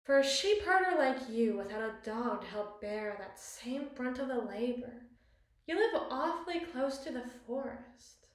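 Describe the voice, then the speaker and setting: A woman's voice: sits high, animated, a little slow. A woman playing a possessive, cunning evil queen in an ASMR roleplay, speaking in ornate, theatrical monologue to a spouse. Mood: brooding, pointed. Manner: ornate, eloquent, dramatic phrasing. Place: small bathroom, indoors. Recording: very echoey tiled bathroom.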